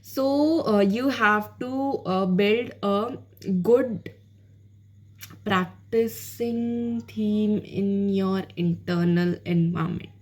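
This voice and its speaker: A woman's voice: medium pitch, extremely slow, a little mellow. A woman with an Indian accent whose first language is Hindi, giving casual spoken learning tips as a channel host in a rambling way full of fillers, slipping between English and Hindi. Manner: rambling, with many fillers and restarts.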